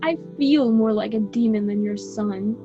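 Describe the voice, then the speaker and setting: A woman's voice: mid-range voice, unhurried, natural voice. A woman playing an angsty, whining teenage son of a god in an amateur student radio drama. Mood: sulky angst. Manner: sulky, whining. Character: angsty teenage demigod son begging for a chariot. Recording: dull lo-fi recording with loud music. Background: music.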